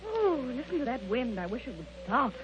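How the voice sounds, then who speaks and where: An actress playing a frightened, fretful wife in an old radio drama, with an anxious, melodramatic delivery. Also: female voice, sits high, very animated, brisk, over music. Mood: anxious, depressed. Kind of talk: 1940s radio drama acting. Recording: dull, noisy old radio recording.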